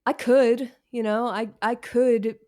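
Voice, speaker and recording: A woman's voice: on the high side, fairly even intonation, takes it slowly, a little mellow. Frank woman in her thirties, candid interview. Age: 30s. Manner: frank, self-deprecating, slangy. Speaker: author, podcast guest.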